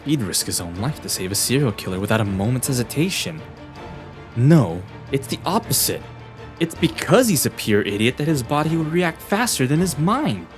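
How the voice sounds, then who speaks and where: A man's voice: mid-range voice, sing-song, normal speed, close to an everyday voice. A man playing an eccentric young genius detective, intense and flippant, in an anime fan dub. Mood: flustered, muttering. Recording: voice over background music.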